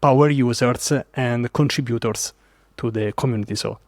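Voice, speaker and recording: A man from Italy with an Italian accent, telling a story as a podcast guest in an animated way with many fillers. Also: mid-range voice, moderate pace, no room sound, studio quality. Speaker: guest developer advocate. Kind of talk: casual podcast interview.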